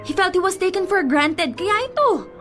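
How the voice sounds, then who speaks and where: A lovelorn, stubborn young woman with a Filipino accent in a radio drama. Her high voice swings widely as she insists and pleads desperately. Faint music plays underneath. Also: very animated, normal speed, fairly bright tone, exaggerated voice. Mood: pleading, determined. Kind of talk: radio drama acting in Taglish. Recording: music behind the voice.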